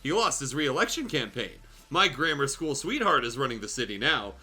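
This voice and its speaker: A man's voice: mid-range voice, big pitch swings, bright, forceful tone, husky, exaggerated voice. Man reading as a smug, petty bearded grump. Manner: smug, unhurried.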